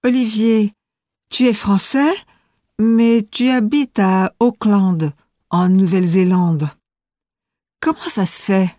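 A woman's voice: medium pitch, slow, long pauses, mellow, no room sound, clean studio sound. A woman reading a scripted listening exam as interviewer and narrator, enunciating carefully.

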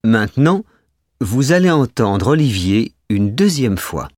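A man's voice: medium pitch, sing-song, unhurried, darkish tone, no room sound, clean studio sound. A man reading scripted exam instructions in a formal, carefully enunciated way. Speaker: exam announcer.

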